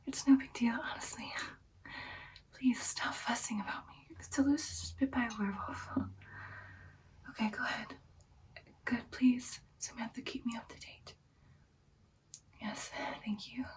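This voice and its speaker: A woman playing an ancient, caring female vampire in a whispered ASMR roleplay, speaking in soft, breathy, hesitant phrases. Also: fairly high pitch, fairly even intonation, measured pace, pauses often, distant mic, lightly acted. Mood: insistent, concerned.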